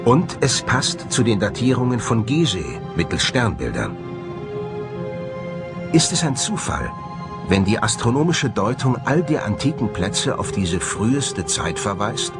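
A man's voice: on the low side, sing-song, a little slow. A man delivering scripted documentary voice-over narration. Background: background music.

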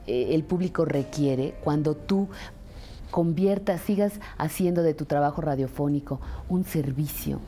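A middle-aged woman with a Mexican accent speaking reflectively in an interview, deliberate and expressive, pausing for emphasis. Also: low voice. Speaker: radio presenter. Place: furnished indoor sitting room. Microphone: lapel mic.

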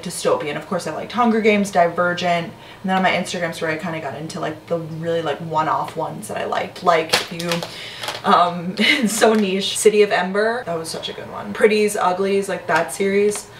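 A young woman in her twenties rambles cheerfully to her camera, peppering every thought with "like". She stands back from a camera on the kitchen counter, so her voice carries a roomy apartment echo over a steady background hum. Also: on the low side, recorded from a distance. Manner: chatty, lots of "like" fillers, upbeat. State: slightly hoarse. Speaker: lifestyle vlogger. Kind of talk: casual vlog chat to camera. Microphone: camera on counter, some distance.

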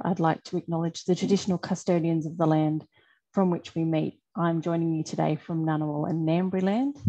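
A woman's voice: on the low side, warm tone. A woman on a headset introducing a webinar in a chatty, informal way, with fillers. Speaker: webinar moderator. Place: indoor room, on a video call. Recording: dull, lo-fi video call audio.

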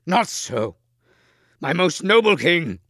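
A man's voice: mid-range voice, unhurried, fairly bright tone, no echo, clean studio sound, pitched above the performer's own voice, close to an everyday voice. A man voicing a loyal, principled knight in an earnest, impassioned manner for a story. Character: loyal, principled knight Gawain pleading with his king.

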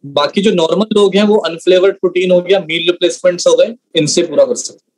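Young guy, trainer on a video call explaining stuff, voice a bit high, drops in english words. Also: fast, a little mellow, low-quality recording. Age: young adult. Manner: mixes in many English words. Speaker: trainer answering product questions. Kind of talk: explanatory online training talk.

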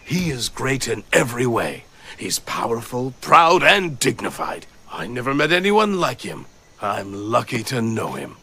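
A man's voice: medium pitch, very animated, measured pace, full character voice. A man voicing an eager, hot-headed cartoon animal, pushy and dramatic, in a dubbed cartoon. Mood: admiring. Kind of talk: cartoon dub acting. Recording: loud music behind the voice.

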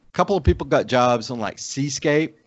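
A man's voice: mid-range voice, expressive, brisk. A middle-aged American man from Oklahoma telling a casual personal story as a podcast guest on a video call. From Oklahoma, United States. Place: indoor room on a video call. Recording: dull lo-fi video call audio.

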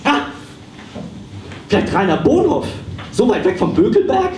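Man playing a high-pitched, frantic, ranting psychotherapist. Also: huge pitch swings, a little slow, darkish tone, exaggerated voice. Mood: muddled, excitable. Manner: ranting, stammering, exclamatory. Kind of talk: live comedy radio play on stage. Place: small dark theatre stage, live. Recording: echoey, noisy stage recording from far off.

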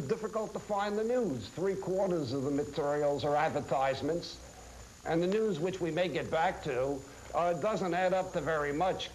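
A man in his sixties giving critical commentary to camera in a deliberate, emphatic way, phrase by phrase. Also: fairly high pitch, darkish tone. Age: 60s. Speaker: media critic presenter. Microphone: lapel mic. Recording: noisy old 1980s videotape.

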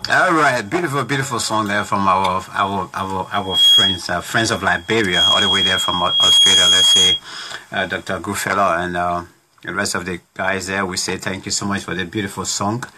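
A middle-aged man hosting a casual interview show from a small home studio, talking in halting runs with many restarts. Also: low-pitched, steady tone, very noisy. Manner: halting, many restarts, plays devil's advocate. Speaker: talk show host. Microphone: studio condenser mic on desk.

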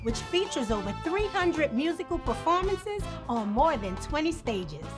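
Very high, animated woman in a promo. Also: unhurried, over music. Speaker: festival promo spokesperson. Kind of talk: scripted TV advertisement.

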